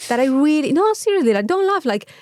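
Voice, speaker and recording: A woman chatting casually as a podcast co-host, animated and free with swearing. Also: sits high, quick pace, dry recording, studio quality. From Mexico. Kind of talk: casual chat.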